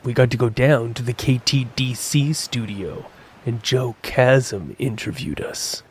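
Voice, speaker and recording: Man playing a young newsman, medium pitch. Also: a little slow, a little mellow, slight background noise, natural voice. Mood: upbeat. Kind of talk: audio drama character acting.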